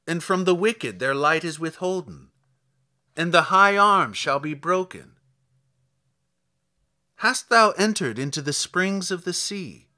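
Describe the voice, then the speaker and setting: A man's voice: high voice, bright, forceful tone, heavily acted. A man reading scripture as a stern, commanding God, declaiming solemn rhetorical challenges in archaic English.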